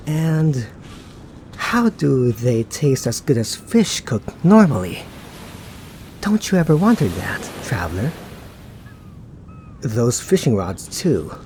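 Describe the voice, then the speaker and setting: A man playing a suave, teasing, laid-back young knight in an ASMR roleplay, talking to the listener in an unhurried, musing way. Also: mid-range voice, a little mellow, slight background noise. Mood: curious, amused.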